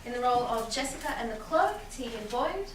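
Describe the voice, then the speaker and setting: A woman's voice: on the high side, animated, fairly quick. Woman narrator in a noisy, echoey room. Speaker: stage narrator. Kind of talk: scripted announcing of cast and stage directions. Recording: echoey room, distant mic, clear noise.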